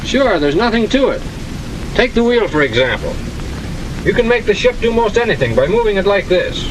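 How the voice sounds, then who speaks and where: Breezy high-pitched American pilot played by a man. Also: sing-song, moderate pace. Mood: breezy, confident. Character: breezy, overconfident expert pilot showing off controls. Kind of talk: 1940s training film demonstration. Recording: noisy old film soundtrack, engine drone.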